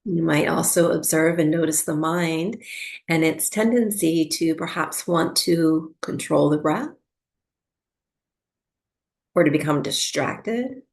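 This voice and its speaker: A woman with a fairly low voice and a strong creak leads a guided meditation as a yoga teacher. Her delivery is soft and soothing, with unhurried phrases and long pauses that suit winding down for sleep.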